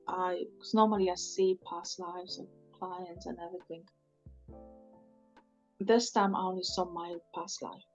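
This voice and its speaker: A woman's voice: mid-range voice, darkish tone, muffled recording. A woman guest on a podcast, a spiritual healer telling a personal story in non-native English, rambling and often quoting herself. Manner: rambling, quotes herself with "it's like".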